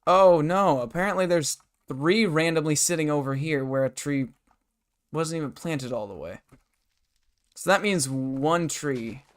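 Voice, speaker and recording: A hoarse man live-streaming a game from his bedroom desk, rambling and muttering to himself and his chat. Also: medium pitch, fairly bright tone. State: hoarse, scratchy throat.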